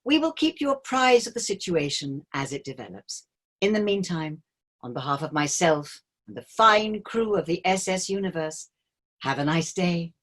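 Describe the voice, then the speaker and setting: A woman acts a cruise captain addressing passengers in a play reading. The voice is husky with lively intonation, and the delivery is official, courteous and calm. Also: on the low side, normal speed. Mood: formal, calm. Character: formal, unruffled cruise ship captain making an announcement.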